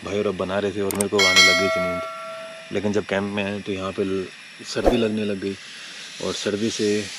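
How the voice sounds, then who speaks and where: A man with a lowish voice narrates a camping trip, talking chattily to his viewers as brothers. He speaks from a campsite at night and later from inside a tent. Faint noise sits under the voice. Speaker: travel vlogger. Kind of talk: casual vlog narration to viewers.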